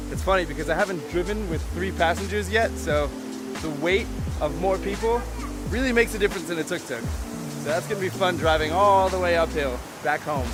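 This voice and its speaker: A young man from the United States narrating a casual travel vlog outdoors, upbeat and chatty. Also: on the high side. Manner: upbeat, chatty, exclaims often. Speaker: travel vlogger.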